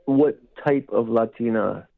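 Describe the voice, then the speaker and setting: Gravelly caller on a tinny phone line. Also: male voice, mid-range voice, measured pace. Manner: chummy, hesitant. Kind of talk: casual phone call.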